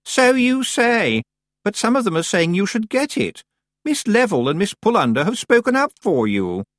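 A man's voice: high voice, big pitch swings, normal speed, fairly bright tone, no room sound, clean studio sound, voice pushed up. A man voicing a vain, pushy teenage girl witch in a storybook, with a haughty, emphatic manner. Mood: suspicious, accusing.

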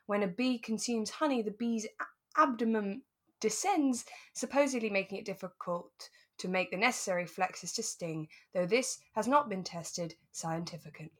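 A British woman co-hosting a relaxed chat podcast, giggly and self-mocking as she tells things in an animated way. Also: mid-range voice, moderate pace. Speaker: podcast co-host. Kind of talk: relaxed chat about a radio drama.

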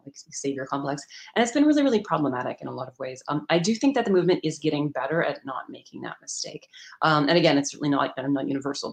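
Fast-talking woman scholar, low-voiced. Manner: rapid, hedging, many restarts. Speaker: guest author. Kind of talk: academic explanation in an interview.

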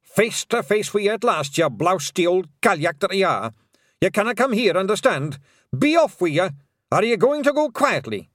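A man voicing a small, boastful Scottish fairy warrior who blusters and shouts in a Scottish accent. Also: high voice, big pitch swings, normal speed, no room sound, studio quality, voice pushed up. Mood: defiant.

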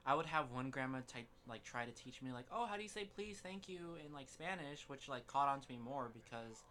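A man's voice: medium pitch, expressive, a little fast, sharp tone, a little hiss or hum. A laid-back young man joking around in a casual podcast chat. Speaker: podcast co-host.

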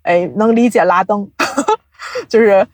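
Young woman, mid-pitched, dry recording, conversational, explanatory, animated storyteller, laughs readily. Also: takes it slowly, no echo. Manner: animated storytelling, laughs often, self-deprecating humour. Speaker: podcast guest, audio drama producer. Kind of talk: casual interview chat, explaining from experience.

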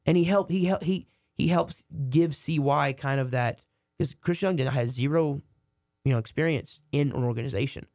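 Hoarse rambling sports podcaster. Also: male voice, a little high, normal speed, darkish tone, no echo, studio quality. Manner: rambling, many restarts. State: hoarse from a cold. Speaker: podcast co-host. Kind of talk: casual sports chat.

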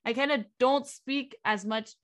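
A young woman from Vietnam co-hosts a casual podcast. Her voice sits fairly high, and she picks her way through each thought with fillers and restarts. Vietnamese is her first language. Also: measured pace, fairly bright tone. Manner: hesitant, many fillers and restarts. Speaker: podcast co-host.

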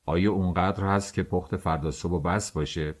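A man's voice: low voice, steady tone. A man voicing a middle-aged male master baker who gives orders to his worker in a commanding, teasing way. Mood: irritated.

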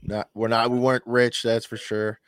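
Smooth-voiced young podcast host. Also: male voice, medium pitch, level tone, normal speed, no room sound, clean studio sound. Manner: upbeat, loose, laughs easily. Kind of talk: casual podcast chat.

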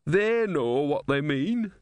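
A man voicing an anxious, high-pitched young novice. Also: expressive, takes it slowly, clean tone, no echo. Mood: troubled insistence.

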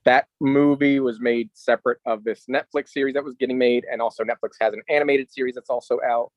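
A man's voice: medium pitch, steady tone, fairly quick, no room sound. A man co-hosting a podcast, chatting casually about films and games. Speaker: podcast co-host.

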